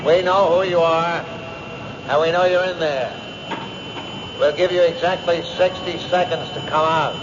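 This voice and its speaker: A man's voice: on the high side, fairly even intonation. A commanding policeman, noisy recording. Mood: stern, commanding. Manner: commanding, deliberate announcing. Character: stern male policeman issuing surrender ultimatum. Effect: bullhorn. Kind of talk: old-time radio drama acting. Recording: noisy, muffled old radio broadcast.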